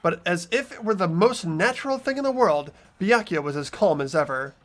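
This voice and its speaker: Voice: mid-range voice, expressive, a little fast, a bit bright, soft background music. The host of a game playthrough, narrating the story and joking with friends, swearing and laughing during the banter.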